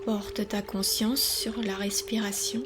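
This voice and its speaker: A woman guides a relaxation session at a very slow pace, in a calm, soothing and instructional manner. She leaves long pauses between phrases. Music plays clearly behind her, with a trickle of water. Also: medium pitch. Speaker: yoga nidra guide.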